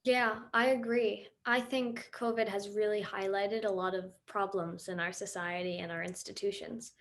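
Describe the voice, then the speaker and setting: A woman's voice: medium pitch, steady tone, normal speed, a little hiss or hum, lightly acted. A woman performs an inquiring moderator in a documentary play. Her voice is mid-range. She puts open questions to a group. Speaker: discussion moderator. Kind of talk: documentary theater, acted as group discussion.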